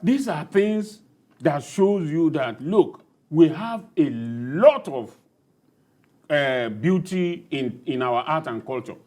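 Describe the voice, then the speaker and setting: A man's voice: fairly high pitch, expressive, takes it slowly, a little mellow. A middle-aged man with a Nigerian accent, talking emphatically and off the cuff in a panel discussion.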